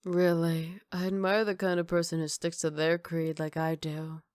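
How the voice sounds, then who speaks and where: A woman playing a flirty, cocky female villain who teases in an unhurried, smooth-talking way, in an ASMR roleplay. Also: fairly low pitch, level tone, darkish tone, no echo, clean studio sound, lightly acted. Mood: casual, teasing.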